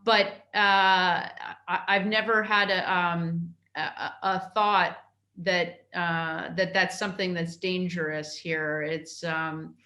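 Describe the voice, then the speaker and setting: A middle-aged woman from New York, a consul answering interview questions in a halting way, with many fillers and restarts. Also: on the low side, sharp tone, reverberant. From New York, United States.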